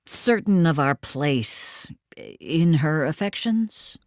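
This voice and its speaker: An actress playing a worldly, wry and jealous lover in an audio drama, blunt and sardonic in manner. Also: female voice, sits low, lively intonation, slow pace, no room sound, studio quality. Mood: wry, resigned.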